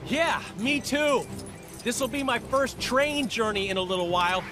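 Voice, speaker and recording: A man playing a boyish, casual, excitable space outlaw in a video game, music behind. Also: very high-pitched, measured pace. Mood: casual, deflated. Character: Star-Lord, boyish self-proclaimed legendary space outlaw. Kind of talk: cartoonish video game acting.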